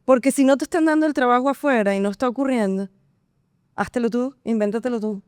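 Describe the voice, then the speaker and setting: A woman's voice: a little high, fast talker, clean tone, no echo, studio quality. A woman from Venezuela, a singer and actress, chatting casually as a guest and laughing often. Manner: lively, laughs often, playful. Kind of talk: casual interview chat.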